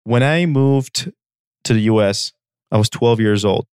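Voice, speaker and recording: A man's voice: lowish voice, unhurried, darkish tone, smooth, no echo, clean studio sound. A man in his thirties from Armenia, a podcast host telling a personal story in an earnest, direct way and checking in with listeners. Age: 30s.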